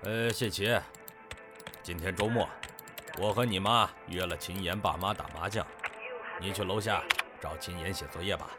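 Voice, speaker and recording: A matter-of-fact middle-aged dad, played by a man in a radio play. His voice is deep, with prominent music behind it. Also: fairly even intonation, moderate pace, pauses often, lightly acted. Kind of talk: Chinese audio drama acting.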